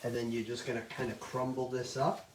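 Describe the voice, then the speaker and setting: A middle-aged man teaching a live online baking class, talking loudly and chattily and checking in with "okay?" all the time. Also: medium pitch, flat delivery, fairly quick, slight background noise. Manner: loud, chatty, says "okay?" often. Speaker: cooking instructor. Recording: roomy kitchen sound, mic at a distance.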